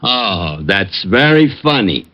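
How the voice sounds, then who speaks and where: An actor playing a slow-witted, put-upon police sergeant with grudging comebacks in 1940s radio style. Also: male voice, fairly low pitch, very animated, sharp tone, full character voice. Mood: grumpy. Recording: dull old radio recording.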